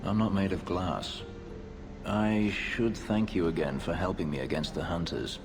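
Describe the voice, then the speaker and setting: An actor playing a brooding, guarded elf fugitive in a video game, speaking tersely and formally in a gravelly voice. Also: male voice, low voice, unhurried. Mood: dryly grateful. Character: brooding male elf fugitive, former slave, guarded and vengeful. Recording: music behind the voice. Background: game music.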